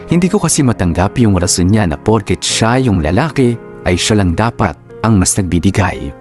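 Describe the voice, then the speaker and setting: A man with a Filipino accent narrating a wife's sentimental love story in the first person, in a deliberate radio drama style. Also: on the low side, a little mellow, close to an everyday voice. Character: aggrieved wife recounting her marriage.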